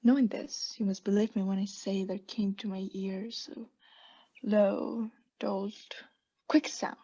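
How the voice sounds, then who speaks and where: A woman playing an obsessed mad murderer in a theatrical radio play, confessing intensely in hushed whispers and frantic outbursts. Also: mid-range voice, little pitch movement, measured pace, husky, highs cut off. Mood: hushed, creeping dread.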